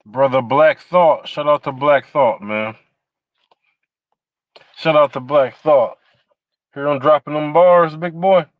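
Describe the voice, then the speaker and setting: A man's voice: fairly high pitch, takes it slowly, pauses often, dry recording, narrow, tinny audio. A man in his forties hosting a casual podcast chat, rambling through questions with lots of fillers and restarts. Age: 40s. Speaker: podcast host.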